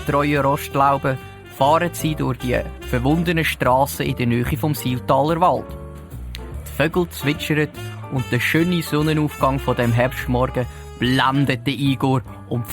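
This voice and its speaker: A man's voice: mid-range voice, steady tone, normal speed, soft background music, natural voice. A man narrating a detective audio drama in a scripted storytelling style, with a Swiss German accent.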